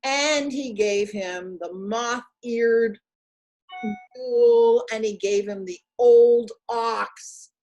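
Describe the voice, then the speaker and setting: A woman telling a folktale aloud in an expressive, deliberate manner with emphatic pauses. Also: fairly high pitch, poor audio. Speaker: storyteller. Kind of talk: live oral folktale storytelling, dramatic.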